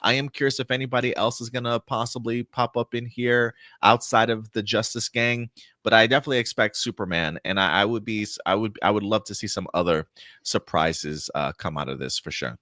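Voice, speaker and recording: A man's voice: on the low side, fairly quick, pushed and bright, no echo, clean studio sound. A man hosting a casual live entertainment talk show, discussing as a fan in an emphatic, opinionated way.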